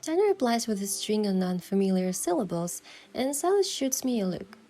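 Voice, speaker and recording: An actress playing a playful, determined young woman who narrates her romantic game adventure in a measured, expressive way. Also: medium pitch. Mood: narrating, playful. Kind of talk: first-person story narration and dialogue.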